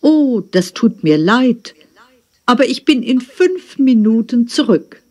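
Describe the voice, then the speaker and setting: Woman acting as coaxing hurried driver, pleading tone, lively intonation, slow pace. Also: a little high. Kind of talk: scripted textbook dialogue.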